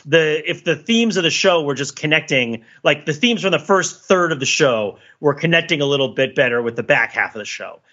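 A man's voice: a little high, animated, brisk, hardly any pauses, bright, forceful tone, highs cut off. A man in a casual analytical discussion, rambling emphatically, repeating words and asking rhetorical questions as a podcast panelist.

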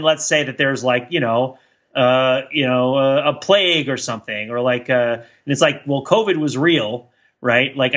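A man's voice: medium pitch, a bit bright, muffled recording. A man co-hosting a podcast in casual chat, rambling and thinking aloud as he riffs. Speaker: podcast co-host.

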